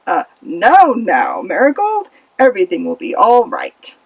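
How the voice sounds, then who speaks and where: A woman's voice: medium pitch, wildly swooping pitch, measured pace, gravelly, slightly rough, no echo, pitched below the performer's own voice, exaggerated voice. Lady doing an anxious fairy tale king dad, full of regret, very up and down, kinda muffled audio. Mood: flustered, soothing. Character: King Midas, greedy but loving father, remorseful and anxious.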